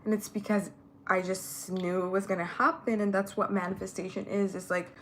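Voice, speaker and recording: Young woman from Ethiopia, non-native English speaker, casual chatty vlog talk, mid-pitched voice, faint background noise. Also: level tone, brisk. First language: not English. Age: young adult. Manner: chatty and candid, many fillers. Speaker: vlogger. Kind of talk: casual get-ready-with-me chat.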